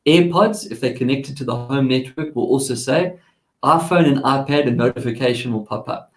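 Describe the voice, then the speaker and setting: A South African man hosts a relaxed tech chat with a gravelly edge to his voice. He talks in a rambling way, full of restarts and asides. The sound is echoey, like a bare studio room. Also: medium pitch, normal speed. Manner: chatty, rambling, with many restarts. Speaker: tech segment host. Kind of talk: casual chat.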